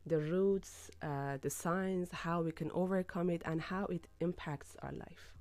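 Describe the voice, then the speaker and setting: A woman co-hosting a live radio talk show, her voice hoarse from a cold, speaking in a friendly, slightly halting way. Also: fairly low pitch, steady tone, darkish tone, no echo. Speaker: radio co-host. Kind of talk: casual radio chat. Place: radio studio.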